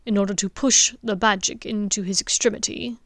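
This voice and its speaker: A woman reading the part of a stern, precise male wizard, speaking slowly in a low, hushed way. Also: fairly even intonation, no room sound, studio quality, close to an everyday voice.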